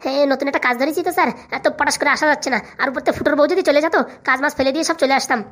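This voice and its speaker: A woman voicing an eager, cheeky young male employee who flatters deferentially in a comic cartoon. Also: high voice, fast talker. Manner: deferential, chatty.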